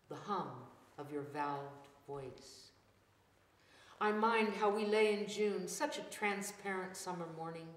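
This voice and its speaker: A woman in her seventies reads verse phrase by phrase in a slightly low voice. The room is echoey, with faint noise behind her. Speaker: poet reading at a public reading. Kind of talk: poetry recitation. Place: indoors in a church hall.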